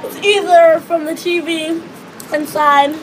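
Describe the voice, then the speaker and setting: Hoarse teenage girl vlogging on a windy balcony. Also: extremely high pitch, steady tone, takes it slowly, low-quality recording. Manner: chatty, rambling with many fillers. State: hoarse, losing her voice. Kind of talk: casual vlog chat. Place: outdoor hotel balcony above a beach. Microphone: phone or webcam close in front. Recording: wind and wave noise outdoors. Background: ocean waves and beach noise.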